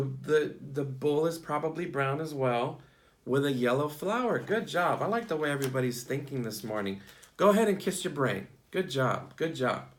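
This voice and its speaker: A man teaching young children through an interactive picture-book read-aloud, asking questions and repeating short words of praise. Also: mid-range voice.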